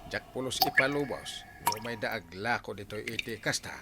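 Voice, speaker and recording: A man's voice: on the low side, normal speed, lightly acted. A man playing an exclaiming village peacemaker in an Ilocano comedy drama. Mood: complaining. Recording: loud music behind dialogue.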